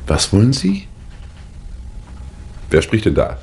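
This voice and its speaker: A man's voice: fairly low pitch, wildly swooping pitch, slow pace, a little mellow, full character voice. An actor playing an evasive, indignant male teacher under suspicion in a 1960s German radio crime drama, blustering and offhand. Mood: puzzled, offhand.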